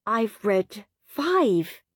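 A woman's voice: high-pitched, sing-song, measured pace, dry recording, clean studio sound, voice pushed up. A woman voicing a whiny, smug, goody-goody little boy in a storybook reading. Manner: prissy and self-satisfied.

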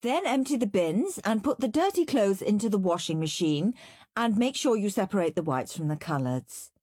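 A woman's voice: medium pitch, very animated, moderate pace, darkish tone, husky, dry recording, voice pushed up. A woman voicing a firm, exasperated mother scolding her children in a children's audiobook. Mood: brisk, firm. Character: firm, exasperated mother of two young boys.

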